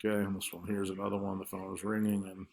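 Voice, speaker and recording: A man's voice: low voice, completely flat delivery, quick pace, creaky, heavy background noise. A man explaining marketing results in an informal, conversational screen-share presentation, feeling his way hesitantly through his points.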